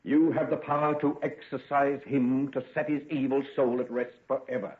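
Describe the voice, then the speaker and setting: An actor playing a tormented, brooding gentleman scientist in an intense, melodramatic golden age radio drama style. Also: mid-range voice, level tone, normal speed, lightly acted. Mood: dark, guarded. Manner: intense, melodramatic, grandiose phrasing. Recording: tinny band-limited vintage radio recording.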